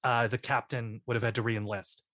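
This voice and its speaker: A man's voice: mid-range voice, level tone, moderate pace, fairly bright tone, no echo. Young male co-host, mock-serious rambler, dry, close-miked. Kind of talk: casual comedic chat.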